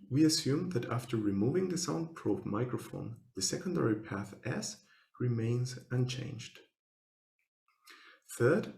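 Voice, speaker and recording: A man giving a scripted technical presentation as a researcher, speaking formally and in measured, step-by-step phrases. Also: mid-range voice, creaky, darkish tone, roomy sound.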